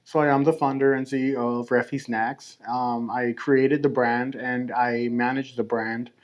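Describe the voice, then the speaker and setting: A man from Mexico, guest on a podcast, answering casually and steadily with frequent pauses and light laughs. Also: mid-range voice, level tone. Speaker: podcast guest, snack brand founder.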